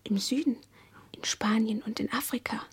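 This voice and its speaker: An actress playing a dreamy, childlike young woman, speaking in a hushed, intimate, breathy whisper in a radio play. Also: on the high side, steady tone, moderate pace. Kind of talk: German radio play acting.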